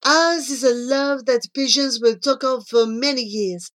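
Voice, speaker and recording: A woman's voice: sits high, unhurried, fairly bright tone, voice pushed up. A woman voicing an amorous, theatrical male pigeon from a children's storybook, with a French accent and flowery, grand romantic speech. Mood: ardent wooing. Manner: florid, grandly romantic, easily distracted.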